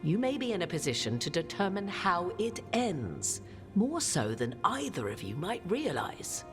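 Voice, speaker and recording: An actress playing an ancient, cryptic witch in a fantasy game, speaking in formal, archaic, deliberate and theatrical phrases. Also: fairly low pitch, big pitch swings. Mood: solemn, portentous. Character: ancient, imperious, cryptic witch carrying a goddess fragment. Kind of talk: dramatic fantasy game dialogue. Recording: studio voice over game music. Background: background music.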